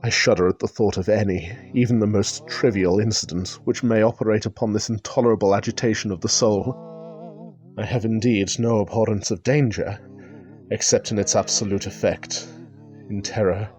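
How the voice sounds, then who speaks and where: Anguished gothic gentleman played by an actor over music. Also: lowish voice, fairly even intonation, normal speed, lightly acted. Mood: despairing dread. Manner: formal, melodramatic, fretful. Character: anguished, nervous reclusive gentleman, doomed last heir. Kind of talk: gothic radio drama acting.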